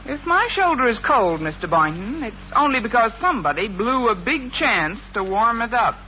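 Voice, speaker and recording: An actress playing a wry, sarcastic American schoolteacher in a 1950s radio sitcom, with dry, deadpan delivery. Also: female voice, a little high, moderate pace. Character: wry, lovelorn woman schoolteacher, sharp-tongued and sarcastic. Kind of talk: 1950s radio sitcom acting with first-person narration. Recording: dull, noisy 1950s radio recording.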